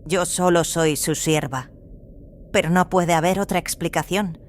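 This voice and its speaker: A woman playing a serene, fervent religious zealot in an audio drama, speaking calmly and solemnly. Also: on the low side, measured pace, a little hiss or hum, natural voice. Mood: serene, devout. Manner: calm, devout, solemn. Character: serene, fervent cloned female zealot from an isolated world. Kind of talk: dramatized audio fiction dialogue in Spanish.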